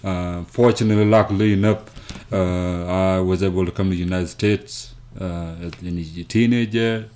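A man from South Sudan telling his life story as an interview guest, speaking haltingly with many fillers and restarts. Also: sits low, steady tone, darkish tone. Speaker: guest, nonprofit founder.

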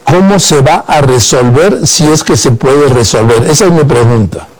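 A man's voice: medium pitch, normal speed, darkish tone, low-quality recording. An older man born in Madrid, hosting a radio interview and pressing his guest with direct, conversational questions. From Spain, born in Madrid. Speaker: radio host.

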